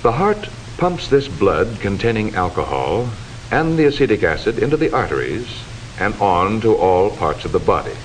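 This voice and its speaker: A man delivering formal, measured, instructive scripted narration in the style of a 1940s educational film, on a hissy old soundtrack. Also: on the low side, sing-song, low-quality recording.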